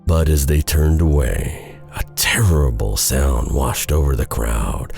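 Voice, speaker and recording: A man narrating a dark bedtime fairy tale in a deliberate, dramatic storytelling style. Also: extremely low pitch, steady tone, vocal fry, faint music behind.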